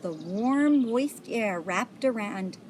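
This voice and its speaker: A woman reading a picture book aloud to children in a deliberate way, like a volunteer storyteller. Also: mid-range voice, animated, a little mellow, slight background noise. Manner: deliberate, pauses to point out pictures.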